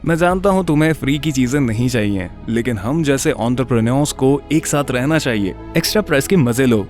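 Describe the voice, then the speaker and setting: A man voicing moody, defensive young men from a wealthy family in an animated story, with curt, dramatic delivery. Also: mid-range voice, a little fast, soft background music, lightly acted. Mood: encouraging. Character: moody young men, rival rich brothers, sardonic and defensive.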